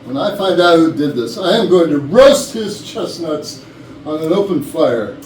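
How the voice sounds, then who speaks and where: A man's voice: fairly high pitch, animated, measured pace, a little mellow. Echoey, distant man acting an anxious company boss. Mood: indignant. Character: anxious male company boss near retirement, bossy and suspicious. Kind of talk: staged reading of a murder mystery comedy. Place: rehearsal room. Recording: noisy echoey room, distant mic.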